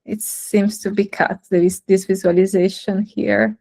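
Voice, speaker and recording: A woman's voice: medium pitch, flat delivery, unhurried, creaky, dark tone. A young woman, a native Italian speaker, giving a technical talk over a video call, hesitant with many fillers and restarts. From Italy. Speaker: guest speaker.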